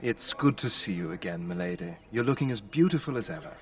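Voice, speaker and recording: A man playing a young nobleman in a fantasy game, courteous and flattering with a dry, polite manner. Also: lowish voice, fast talker, distant mic. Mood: courteous, wry.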